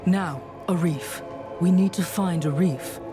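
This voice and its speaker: A determined hero in a fantasy game. She is matter-of-fact, with a dry, defiant edge. Music plays clearly behind her. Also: on the low side, slow. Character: resolute, dry-witted hero, outcast relic bearer. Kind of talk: video game dialogue acting.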